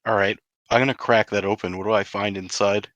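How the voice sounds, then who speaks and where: A man's voice: fairly low pitch, steady tone, fairly quick, fairly bright tone, no room sound. A man playing a tabletop role-playing game, chatting casually and inquisitively in informal language with some mild swearing.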